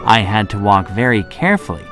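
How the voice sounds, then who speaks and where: An actor playing a curious, polite traveler who narrates his own children's story with expressive, clear phrasing. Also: lowish voice, a little fast, soft background music. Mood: wondering, descriptive. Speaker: first-person narrator.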